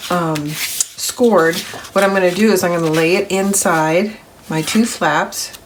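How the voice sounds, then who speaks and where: A middle-aged woman, a little gravelly from grogginess, hosting a papercraft tutorial in a chatty, step-by-step way. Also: on the low side, unhurried, noisy background. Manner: chatty, step-by-step, self-correcting. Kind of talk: crafting tutorial voice-over.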